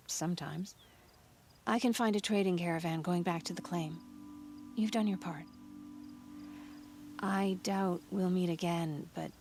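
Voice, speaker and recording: An actress playing a weary wife and mother freed from captivity in a video game, speaking in a subdued, wistful, halting way. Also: on the low side, a little mellow. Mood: weary resolve.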